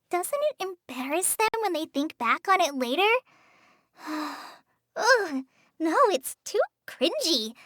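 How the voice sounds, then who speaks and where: A woman's voice: extremely high pitch, a bit bright, no echo, studio quality, heavily acted. Shy young ranger girl. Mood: sheepish. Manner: hesitant, apologetic, sighing. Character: shy young female forest ranger apprentice. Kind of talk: game character voice lines. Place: studio booth.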